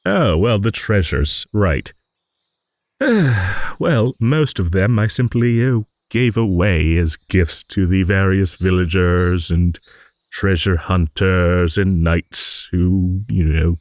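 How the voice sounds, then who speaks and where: A man playing a gentle, courteous old dragon who rambles hesitantly in an improvised fantasy audio roleplay. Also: low voice, no echo. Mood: bemused, modest. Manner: rambling, hesitant, genteel, with musing hums and pauses.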